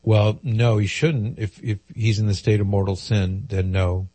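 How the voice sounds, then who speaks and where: Man, radio call-in host, slightly nasal from a cold, husky, low-pitched, dry studio sound. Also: fairly even intonation, unhurried, dark tone, no room sound. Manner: conversational, explains at length with fillers. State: head cold, a little nasal.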